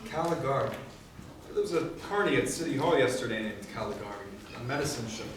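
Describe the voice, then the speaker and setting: A man's voice: medium pitch, fairly quick, distant mic, lightly acted. Man acting an earnest young office worker, very echoey. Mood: dry, busy. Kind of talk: musical theatre stage acting. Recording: echoey hall recorded from afar, noisy.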